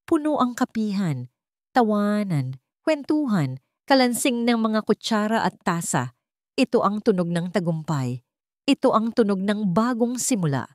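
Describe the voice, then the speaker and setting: A woman's voice: medium pitch, sing-song, unhurried, dry recording, studio quality. A woman with a Filipino accent reading a scripted romantic drama story as its narrator.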